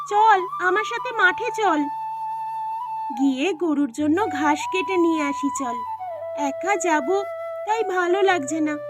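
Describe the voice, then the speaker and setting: A very high, girlish cartoon voice for a kind young village sister, acted by a woman. She is a caring, dutiful daughter, and music plays clearly underneath. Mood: friendly. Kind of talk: animated storybook dialogue.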